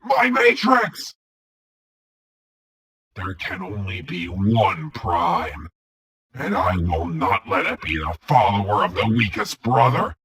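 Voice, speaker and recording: Tyrannical robot overlord, gravelly and husky, menacing, wide dramatic swings, cartoon villain. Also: male voice, lowish voice, huge pitch swings, takes it slowly, a bit bright, exaggerated voice. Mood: enraged. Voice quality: gravelly, raspy. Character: tyrannical evil robot warlord, vengeful, grandiose, hateful. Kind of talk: scripted villain voice acting demo.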